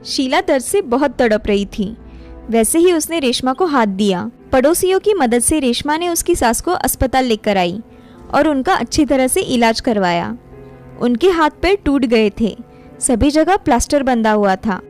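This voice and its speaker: High-pitched woman narrating a story. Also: lively intonation, moderate pace, darkish tone, faint music behind.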